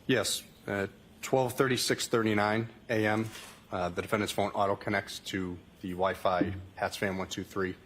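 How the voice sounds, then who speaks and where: Low-voiced man giving matter-of-fact testimony. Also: fairly even intonation, moderate pace, a bit bright. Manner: matter-of-fact, repetitive formulaic delivery. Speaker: state trooper testifying as witness. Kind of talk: courtroom testimony, reading out a call log. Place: courtroom witness stand. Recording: noisy courtroom recording.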